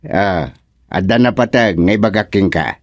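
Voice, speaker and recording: Man acting a slow, commanding village chairman. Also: low voice, no echo, clean studio sound. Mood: pleased, official. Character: stern, authoritative male village chairman. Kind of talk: Ilocano radio comedy drama acting.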